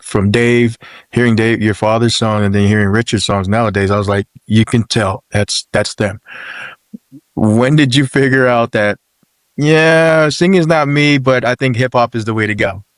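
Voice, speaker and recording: A man co-hosts in a lowish, easygoing voice, affirming the guest before he asks his question. The sound is close and dry. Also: no room sound. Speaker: podcast co-host. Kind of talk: casual interview questions.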